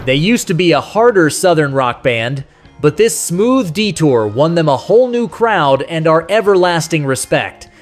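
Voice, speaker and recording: Higher-pitched man narrating a nostalgic countdown. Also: big pitch swings, normal speed. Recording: music playing behind the voice. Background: music.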